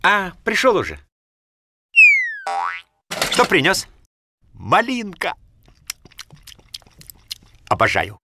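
A man's voice: sits high, huge pitch swings, very slow, creaky, pauses often, noisy background, heavily acted. A man voicing a crafty, lazy polar bear inventor in a cartoon, with a drawling, whiny, theatrical delivery. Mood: pleased, boastful. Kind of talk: cartoon voice acting. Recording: music under the voice.

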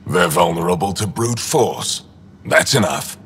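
An actor playing a gruff, war-hardened alien resistance leader in a video game, terse, dry and cynical with a gravelly voice. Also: male voice, sits low, expressive, measured pace, a bit bright, exaggerated voice. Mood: blunt, grim. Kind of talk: video game dialogue acting.

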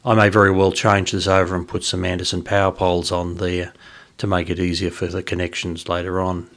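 A man, explaining a hobby project informally, in halting phrases with pauses. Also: deep voice, level tone, fairly bright tone. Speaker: host of an amateur radio hobby video. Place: small indoor radio room at home.

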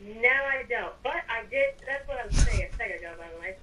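A woman with a fairly high voice chats while role-playing in a game. The delivery is casual and improvised. Her voice comes over voice chat with faint noise and clicks. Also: lively intonation, fast, pushed and bright, rough recording. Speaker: gamer playing an evil character in roleplay.

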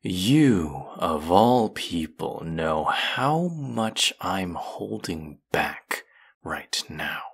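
A man playing a vengeful, brilliant fantasy villain in an audio roleplay, deliberate, sardonic and threatening, with an intimate delivery that can drop toward a whisper. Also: low voice, big pitch swings, gravelly, breathy edge, heavily acted. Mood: sadistic, taunting.

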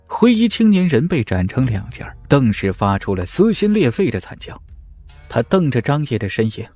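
A man's voice: mid-range voice, big pitch swings, normal speed, mellow, dry recording. Man narrating dramatically, swooping intonation over music. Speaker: audiobook narrator. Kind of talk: dramatic scripted narration of a fantasy martial arts novel.

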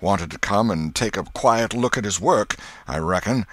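A man's voice: fairly low pitch, animated, normal speed, a bit bright, dry recording. A man voicing agitated, gossiping villagers in a storybook crowd, with blunt, folksy, exclamatory speech. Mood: accusing.

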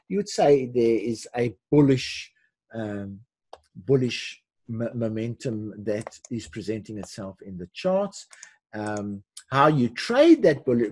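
An older man hosting a live webinar, giving unscripted commentary in a halting way with repeated words and restarts, his voice husky. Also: medium pitch, darkish tone, no room sound. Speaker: webinar host teaching forex chart analysis.

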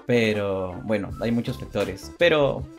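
A man's voice: fairly low pitch, animated, unhurried. A young man co-hosting a casual video podcast, hesitant and rambling, often repeating his phrases. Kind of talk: casual chat. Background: background music.

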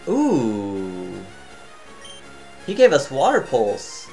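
A tired man giving casual, chatty commentary as he plays a video game, thinking out loud and humming to himself. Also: mid-range voice, huge pitch swings, very slow, soft background music. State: tired, voice near cracking. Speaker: gaming channel host.

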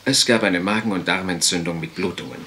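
A man's voice: low voice, brisk, sharp tone, slight background noise. A man playing a sober, formal country doctor in a radio crime drama, speaking precisely and clinically. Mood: grave, precise.

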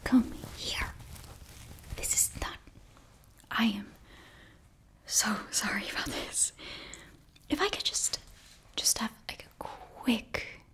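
Young woman, close-miked whisper for fantasy ASMR roleplay; flustered, halting, apologetic student sorceress. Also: on the high side, fairly bright tone. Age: young adult. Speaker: ASMR performer. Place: small indoor bookshelf set. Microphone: very close ear-to-ear microphone.

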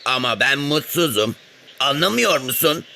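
A man's voice: medium pitch, expressive, creaky, background noise. A man voicing a sulky, unhappy frog in a children's cartoon tale, glum and dismissive. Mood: irritated. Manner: glum, slow, reluctant, dismissive. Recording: music behind the voice.

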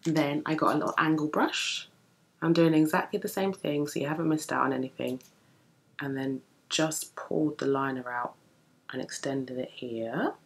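A young woman with a deep early-morning voice, chatting through a makeup tutorial at home while she works on her eyes. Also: normal speed. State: just woken, deep morning voice. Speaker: beauty vlogger. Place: home room with bookshelves, indoors.

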